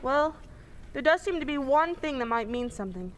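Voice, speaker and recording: A young woman playing a heroine in an old-time radio drama, speaking with crisp urgency. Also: high-pitched, lively intonation, moderate pace, a little hiss or hum. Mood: wary. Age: young adult. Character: young woman caught by a killer, brave but frightened. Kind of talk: 1940s radio acting.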